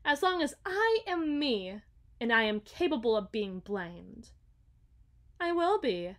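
A woman playing a haughty, battle-hardened evil queen in an ASMR roleplay, speaking formally and deliberately with dramatic pauses. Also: a little high, fairly bright tone. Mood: weary, bitter. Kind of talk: ASMR roleplay monologue, scripted.

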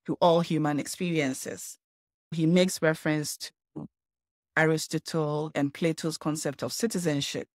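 A woman from Ghana, a scholar answering interview questions in a measured, explanatory way. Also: sits low, dry recording, studio quality. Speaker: guest scholar.

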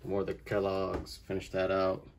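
A man's voice: low voice, completely flat delivery, normal speed, gravelly, slight background noise. A man in middle age giving a casual, slangy video walkthrough at home, recorded on a handheld phone. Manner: informal, slangy. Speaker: vlogger giving a room tour. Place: carpeted room at home. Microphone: phone held in hand.

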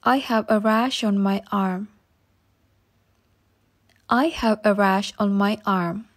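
A woman reading short English practice phrases slowly and saying each one twice for learners. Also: medium pitch, fairly even intonation. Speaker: English teacher.

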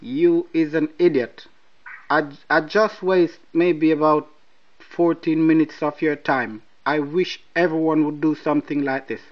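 A Nigerian man with a Nigerian accent, bluntly and mockingly confronting a scam caller in slow, deliberate speech. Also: on the high side, steady tone, lo-fi sound. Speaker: person baiting a scam caller. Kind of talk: confrontational phone call.

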